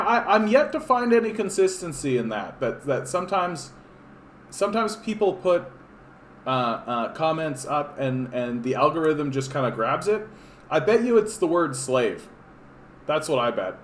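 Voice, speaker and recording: A man's voice: a little high, big pitch swings, unhurried, echoey room, slight background noise. A man from Canada hosting a casual political livestream, rambling with lots of fillers and restarts, sarcastic as he reads chat comments. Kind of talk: casual live-stream chat reading comments. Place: home room.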